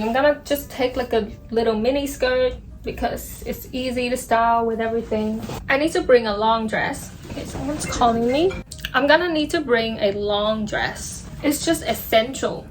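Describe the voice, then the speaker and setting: A Vietnamese woman in her twenties, chatting excitedly and poking fun at herself while packing at home, filmed on a phone. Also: fairly high pitch, moderate pace, soft background music. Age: 20s. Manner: chatty, excitable and self-mocking. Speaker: vlogger. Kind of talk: casual vlog chat.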